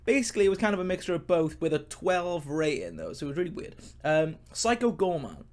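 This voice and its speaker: A man's voice: fairly high pitch, big pitch swings, fast talker, darkish tone. A man hosting a casual, rambling film review, enthusiastic and emphatic, his speech slightly impeded by a sore mouth. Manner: enthusiastic, informal, emphatic and repetitive. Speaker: podcast host, film reviewer.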